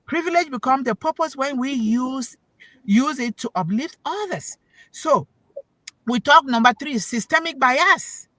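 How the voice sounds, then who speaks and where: A woman's voice: high-pitched, lively intonation, measured pace, a bit bright, no room sound, poor audio. Emphatic woman giving a preachy talk. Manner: emphatic, preachy, repeats key words for stress; asks the listeners questions. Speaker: panel speaker on an online talk show. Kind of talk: motivational talk.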